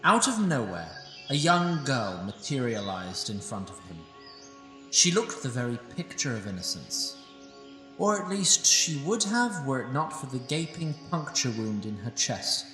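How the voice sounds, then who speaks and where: In a fantasy audio drama, a man voices a wry old sprite who tells the tale with a lively, rising-and-falling delivery and dry asides. His voice sounds distant in a roomy, echoey space with a long reverb tail. Music plays softly underneath. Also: mid-range voice, unhurried. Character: wry sprite narrator, male, ancient. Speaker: narrator.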